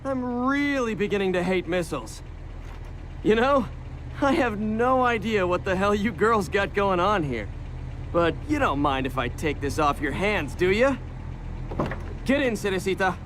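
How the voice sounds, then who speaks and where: A woman's voice: mid-range voice, lively intonation, a little slow, natural voice. A woman playing a brash, wisecracking video game character with an offhand, slangy delivery. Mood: wry, brisk. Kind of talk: video game cutscene acting. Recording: loud vehicle and street noise.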